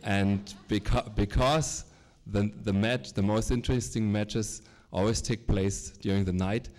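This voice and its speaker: A middle-aged man introducing a guest speaker at a public lecture, hesitant, with many fillers and halting restarts. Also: lowish voice, fairly even intonation, a little mellow. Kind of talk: formal introduction.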